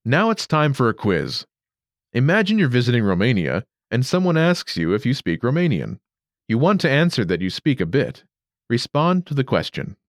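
Man narrating a lively language lesson. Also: medium pitch, dry recording, clean studio sound. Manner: clear, measured teacher delivery. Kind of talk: scripted instructional narration.

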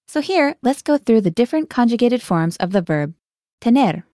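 A woman's voice: a little high, very animated, normal speed, mellow, no echo, studio quality. A woman hosting a scripted language lesson, guiding the learner and translating each phrase into English.

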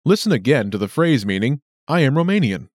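A man hosting a scripted language lesson with clear, upbeat announcer delivery. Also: medium pitch, big pitch swings, brisk, dry recording, clean studio sound. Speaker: lesson host.

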